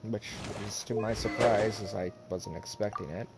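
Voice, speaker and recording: A man doing casual live game stream commentary in a halting, rambling way. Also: fairly low pitch. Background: video game music.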